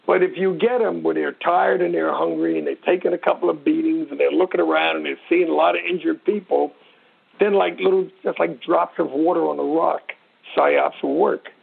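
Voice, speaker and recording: Older american guy, real raspy and creaky, blunt expert explaining stuff on a tinny phone line. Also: on the high side, steady tone, fairly quick. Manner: blunt, emphatic storyteller with short repeated phrases. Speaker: military expert guest, retired sergeant major. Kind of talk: informal explanatory interview talk. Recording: tinny narrow phone-line radio sound.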